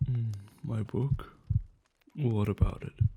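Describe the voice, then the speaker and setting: A man acting a gentle, caring giant in a soft-spoken, soothing and affectionate ASMR roleplay, with slightly formal phrasing. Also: slow. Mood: earnest, thoughtful. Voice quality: low, soft, gravelly. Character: gentle male giant, tender, curious and caring toward humans.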